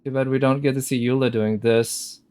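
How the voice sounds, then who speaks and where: A man giving casual, unhurried live commentary as a game streamer, musing aloud as he goes. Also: mid-range voice, fairly even intonation, a little mellow. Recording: game music behind the voice. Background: game music.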